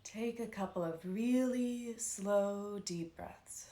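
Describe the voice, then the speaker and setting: A woman leading a live guided meditation as an instructor, speaking in a calm, soothing, unhurried way with long pauses. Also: mid-range voice, echoey room.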